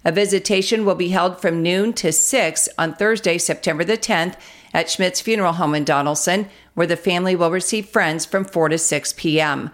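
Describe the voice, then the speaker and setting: Female radio news announcer, American, on the lower side, scripted delivery. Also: moderate pace. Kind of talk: scripted local news reading.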